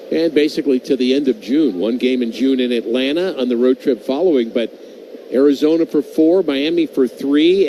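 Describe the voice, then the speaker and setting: A man's voice: mid-range voice, dark tone, slight background noise, poor audio. A man giving conversational, explanatory baseball analysis as a radio color commentator. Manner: explanatory, unscripted.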